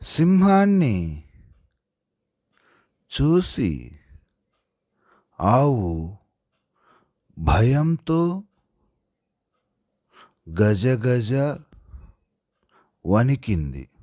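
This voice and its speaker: A man reading a story aloud as a handwriting lesson, in a deliberate dictation style, pausing after every word or short phrase. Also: mid-range voice, wildly swooping pitch, darkish tone, no echo, studio quality. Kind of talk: slow dictation-style storytelling read aloud while writing.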